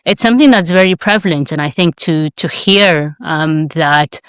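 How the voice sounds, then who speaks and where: A woman's voice: low-pitched, normal speed, clean tone, no echo. A woman from Sudan, co-hosting a podcast, talking in a conversational, hesitant way with many fillers. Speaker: guest co-host.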